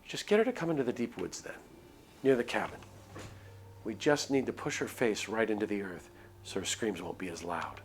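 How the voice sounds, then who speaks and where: A man's voice: fairly low pitch, animated, normal speed, slight background noise. A man voicing a cold, commanding village woman in a story game, matter-of-fact and urgent.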